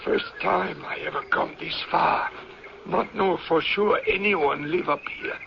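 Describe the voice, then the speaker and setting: Slow, creaky-voiced cautious Native guide. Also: male voice, fairly high pitch. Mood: wary. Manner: halting, clipped broken English. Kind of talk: radio drama acting. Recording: muffled, noisy old radio broadcast.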